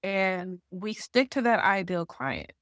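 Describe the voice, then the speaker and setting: A woman's voice: fairly low pitch, expressive, slow pace, fairly bright tone, no room sound, clean studio sound. A woman guest on a podcast interview, explaining her points step by step and checking in with "right?". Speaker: podcast guest, marketing association founder. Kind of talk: conversational interview.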